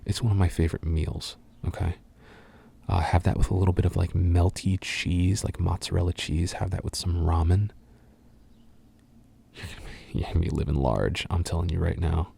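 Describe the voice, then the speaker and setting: A young adult man from the United States, talking in a soft, half-whispered way and rambling through fan questions with lots of ums and uhs. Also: sits low, fairly even intonation, normal speed, creaky, slightly rough. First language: English. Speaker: voice actor answering fan questions.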